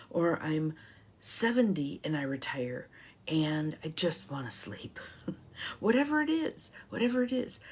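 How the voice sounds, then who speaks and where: A woman's voice: on the low side, breathy edge. A middle-aged woman life coach hosting a live talk, reflective and encouraging, greeting viewers by name.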